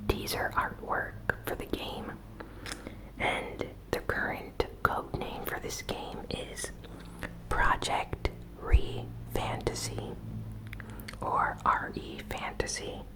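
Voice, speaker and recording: A woman hosting an ASMR gaming news recap in a breathy whisper, with soft, unhurried, informal commentary. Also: low-quality recording.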